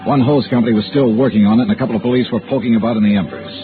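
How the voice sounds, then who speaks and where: An actor playing a tough, wry American insurance investigator with clipped, probing delivery in a 1950s radio noir drama. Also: male voice, medium pitch, rapid-fire, music in the background, close to an everyday voice. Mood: somber narrating. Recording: tinny, narrow old radio recording.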